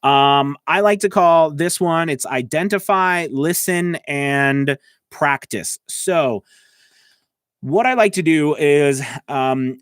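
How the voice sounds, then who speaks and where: A man's voice: fairly high pitch, dry recording, clean studio sound. A man giving an informal lesson as a voice-over coach, explaining conversationally and often checking in with the listener. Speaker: voice-over coach and working voice actor. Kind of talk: informal instructional talk to camera. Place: editing station indoors.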